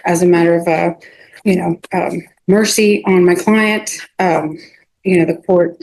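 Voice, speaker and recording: A woman speaking as a defense attorney in a court hearing, halting and often repeating or restarting her phrases. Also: lowish voice, fairly even intonation, darkish tone, rough recording. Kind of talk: addressing a judge in a court hearing.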